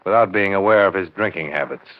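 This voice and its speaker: An actor playing a tough, blunt insurance investigator in a 1950s radio crime drama. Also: male voice, low-pitched, quick pace, dry recording, natural voice. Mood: accusing. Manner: hard-boiled, blunt, pressing. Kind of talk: 1950s radio drama acting and first-person narration. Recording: narrow-band vintage radio recording.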